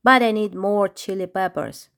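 A woman voicing a clumsy, angry male ostrich clown villain in a storybook, shouting and menacing. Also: medium pitch, fairly even intonation, moderate pace, lightly acted.

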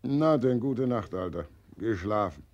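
An actor playing Death as a fat, cynical, belching undertaker in a 1940s radio play. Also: male voice, on the low side, animated, measured pace, darkish tone, highs cut off. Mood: jeering. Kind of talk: 1940s radio drama acting.

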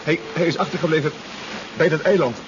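A worn-out, rattled submarine pilot, played by a man, speaks haltingly over a loud engine drone. His voice sits fairly high, and he stumbles and repeats himself. It is a muffled 1970s radio play recording. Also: noisy background, close to an everyday voice. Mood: shaken, apologetic. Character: distraught, exhausted submarine pilot reporting by radio. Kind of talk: 1970s radio drama acting.

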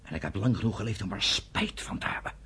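Very raspy, troubled elder brother. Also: male voice, on the low side, animated, pushed and bright, full character voice. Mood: bitter anger. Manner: grave, halting, emotional. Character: troubled, stern elder brother pleading for restraint. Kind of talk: Dutch radio play acting.

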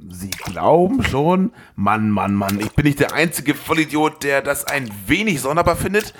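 A man's voice: medium pitch, lively intonation, moderate pace, fairly bright tone, a little hiss or hum, close to an everyday voice. A man voicing an indignant investor who demands answers, in an amateur audio drama. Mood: incredulous. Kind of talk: amateur audio drama re-enactment of film dialogue.

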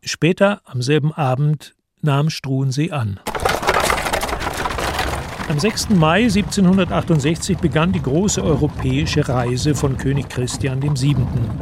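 A man reading scripted literary narration for a radio play in a measured, matter-of-fact way. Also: on the high side.

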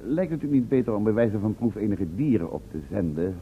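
An actor playing a polite, cautious man who asks questions in a measured, courteous way, in a radio play. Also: lowish voice, big pitch swings. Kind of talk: Dutch radio play acting. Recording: tinny band-limited old radio recording, faint noise.

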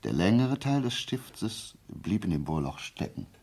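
A deep, gravelly, shrewd detective. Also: male voice, very animated, darkish tone, full character voice. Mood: explanatory, deliberate. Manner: deliberate, didactic, thoughtful pauses and rhetorical questions. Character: shrewd, methodical male amateur detective explaining a crime. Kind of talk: radio drama dialogue, deductive monologue.

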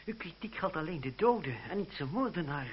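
A man's voice: a little high, lively intonation, a little fast, breathy edge. A man acting in an old radio play as a bitter, defiant young man grieving his friend, with theatrical, emphatic delivery. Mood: controlled, reproachful. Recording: muffled, noisy old radio recording.

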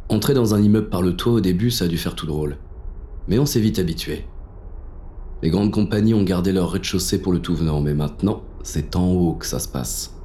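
Casting: male actor as world-weary, sardonic detective for noir audio fiction; low, mellow voice, slangy first-person delivery. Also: brisk, slight background noise, close to an everyday voice. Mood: wry. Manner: colloquial, sardonic. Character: jaded, cynical male police inspector, gruff and irreverent.

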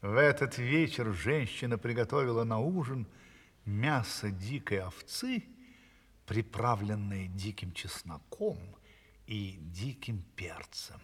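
A man telling a fairy tale to children theatrically, savouring his words with a breathy, hushed delivery. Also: lowish voice, expressive, slow pace, big-room sound, heavily acted. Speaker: storyteller.

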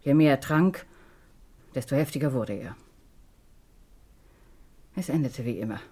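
A woman's voice: rumbling low, lively intonation, measured pace, warm tone, breathy edge, heavily acted. An actress playing a middle-aged divorced mother in a German radio crime drama, devoted to her children and bitter about her past. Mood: bitter, weary.

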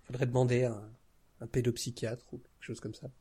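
Guy on a podcast just chatting, slangy and informal, average pitch. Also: little pitch movement, fast talker, warm tone, breathy edge. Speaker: podcast guest and audio drama creator. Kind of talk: casual chat.

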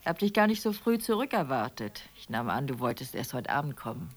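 An actress playing a chatty middle-class Roman wife and would-be writer in radio play dialogue. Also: female voice, very deep voice, big pitch swings, brisk, exaggerated voice. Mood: casual, domestic.

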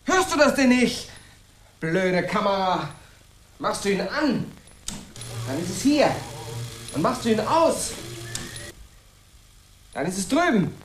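Radio drama role: haunted, fixated male engineer, fairly high voice, pleading and agitated, on a noisy recording. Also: sing-song, slow, long pauses, full character voice. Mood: irritated, desperate. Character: tormented, obsessive male engineer hounded by a whirring. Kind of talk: German radio play acting. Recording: clearly noisy old radio production.

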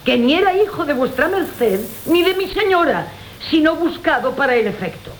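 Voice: high-pitched, very animated, moderate pace, poor audio. A performer playing a sly, mock-deferential, gossipy servant in a classical radio comedy. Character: sly, gossipy, opportunistic household servant Álvarez. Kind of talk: Spanish classical comic radio theatre acting. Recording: hissy 1980 radio broadcast.

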